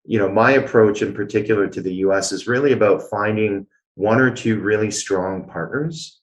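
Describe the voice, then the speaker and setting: A man's voice: lowish voice, moderate pace, poor audio. A man from Canada, a guest answering interview questions in an explanatory way, with many filler sounds. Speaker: guest, blockchain executive.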